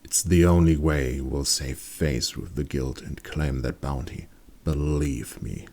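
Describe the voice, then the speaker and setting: A man voicing a determined, persuasive monster hunter with a commanding manner in a dark fantasy story. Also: very deep voice, fairly even intonation, unhurried, pitched below the performer's own voice, full character voice. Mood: quietly dangerous. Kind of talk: dramatic fantasy story reading.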